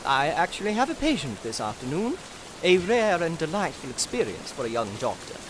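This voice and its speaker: A man acting in audio theatre as an earnest, sheepish young gentleman doctor, formal and expressive. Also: on the high side, a little fast, noisy background. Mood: rueful, polite. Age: young adult.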